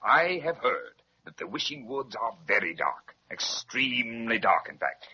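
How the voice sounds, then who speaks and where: Fussy rambling royal attendant, mid-pitched, lo-fi 1930s radio. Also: male voice, sing-song, moderate pace, bright, forceful tone, husky, heavily acted. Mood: dithering. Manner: rambling, dithering, apologetic. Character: fussy, scatterbrained male palace courtier. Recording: dull lo-fi 1930s radio recording.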